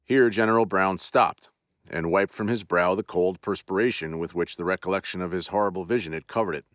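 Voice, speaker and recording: A man hosting a podcast, giving a solemn, deliberate dramatic reading of a classic ghost story. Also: sits low, fairly bright tone, dry recording, studio quality. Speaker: podcast host and narrator.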